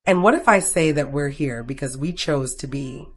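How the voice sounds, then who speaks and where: Quietly confident man in love, read by a narrator. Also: medium pitch, moderate pace, husky, natural voice. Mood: teasing.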